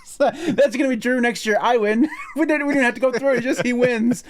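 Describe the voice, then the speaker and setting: A man's voice: very high-pitched, fairly quick, a little hiss or hum. Male podcast host, chatty, giggly, rambling. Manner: laughs often, rambling with restarts. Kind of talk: casual podcast chat.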